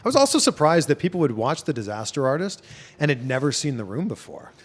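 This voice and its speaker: A man's voice: a little high, sing-song, fast talker. A man from Toronto hosting a casual interview, chatty and enthusiastic. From Toronto, Canada. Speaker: interview host.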